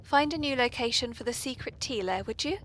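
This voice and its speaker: A woman's voice: a little high, expressive, fairly quick, fairly bright tone. A British woman hosting a comic tea-party podcast in a polite, genteel, playfully arch manner. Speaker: podcast host. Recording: poor, noisy amateur podcast recording.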